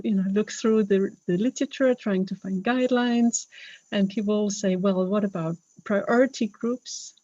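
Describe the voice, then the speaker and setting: A woman's voice: mid-range voice, mellow, dry recording, dull audio. A woman from Denmark with a Danish accent, speaking English as a second language in a webinar talk, earnest and hesitant, with many fillers and restarts. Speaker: webinar speaker, health professional.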